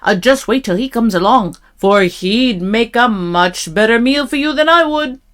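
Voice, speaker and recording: A woman's voice: on the high side, expressive, normal speed, fairly bright tone. Woman acting middle-sized billy goat, storybook, anxious, hesitant, pleading, raised voice. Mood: frightened pleading.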